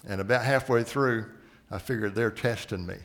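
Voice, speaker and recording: A man preaching a sermon, telling a story earnestly and often sinking into a soft, low voice. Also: normal speed. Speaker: missions leader preaching.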